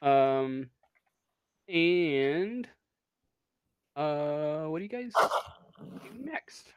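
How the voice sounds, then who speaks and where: A woman narrating an improvised tabletop game as game master, speaking informally and haltingly. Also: deep voice, lively intonation, smooth, dry recording, lo-fi sound.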